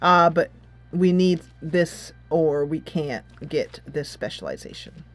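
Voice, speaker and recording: A woman's voice: low-pitched, expressive, takes it slowly, soft background music. A woman gaming host giving casual commentary, thinking aloud as she plays a tactics game.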